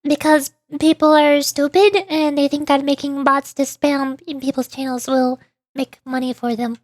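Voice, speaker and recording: A woman's voice: high voice, fairly even intonation, normal speed, dry recording. A woman streaming a game, chatting casually in a playful, teasing manner. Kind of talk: casual game livestream chat.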